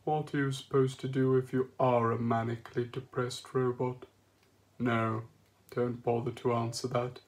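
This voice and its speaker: A man voicing a depressed male robot who speaks in a slow, mournful, condescending drone. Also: mid-range voice, flat delivery, natural voice. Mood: gloomy.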